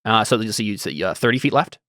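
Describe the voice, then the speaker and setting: A man's voice: lowish voice, fast, bright, forceful tone, dry recording, studio quality. A young man running a tabletop role-playing game as game master, talking casually and thinking aloud as he reads rules text.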